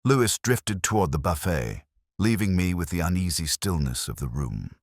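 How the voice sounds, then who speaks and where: A man narrating a scripted detective story as a brooding police inspector, in a measured, solemn, literary way. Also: deep voice, big pitch swings, no echo, clean studio sound.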